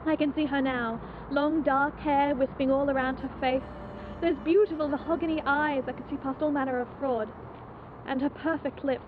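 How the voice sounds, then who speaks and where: Young woman acting an intense high-voiced haunted spinster, tinny audio. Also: fairly even intonation, natural voice. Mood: bitter, wistful. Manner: theatrical, confessional, deliberate phrasing. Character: ageing, intense, repressed aristocratic English spinster haunted by youth. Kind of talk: dramatic monologue. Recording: tinny band-limited noisy amateur film sound.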